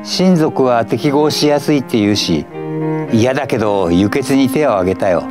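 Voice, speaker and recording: A man's voice: mid-range voice, animated, normal speed, pitched above the performer's own voice, close to an everyday voice. Old guy doing a bossy scolding woman in a story, blunt n grudging, bit of music behind. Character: blunt, scolding female relative of an injured man.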